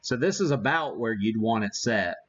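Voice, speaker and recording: A man hosting a live hobby stream, giving a casual demo and thinking aloud in a halting way. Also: on the low side, expressive, a bit bright, highs cut off. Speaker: ham radio live stream host.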